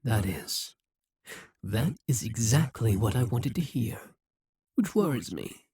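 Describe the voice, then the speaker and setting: A man voicing a possessive, scheming demon king in an audio roleplay, speaking slowly and condescendingly. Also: medium pitch, big pitch swings, darkish tone, breathy edge, no echo, heavily acted. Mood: brooding. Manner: condescending, slow and musing.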